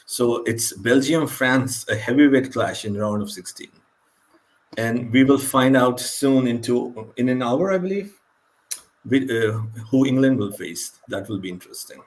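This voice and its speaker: A man's voice: mid-range voice, measured pace. Polite guy chatting football on a stream, kinda hesitant, mid voice. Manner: hesitant, polite, laughs lightly. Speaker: guest. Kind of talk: casual football chat.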